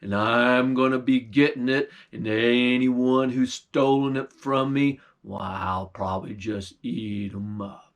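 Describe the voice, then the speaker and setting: A man voicing a huge, hungry, menacing male bear, with slow growling threats in a folksy dialect. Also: mid-range voice, fairly even intonation, voice pushed down.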